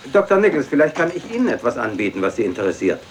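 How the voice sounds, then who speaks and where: A man's voice: mid-range voice, big pitch swings, racing, a little hiss or hum, close to an everyday voice. An actor playing an eager, eccentric male professor in a film dub. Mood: eager, polite.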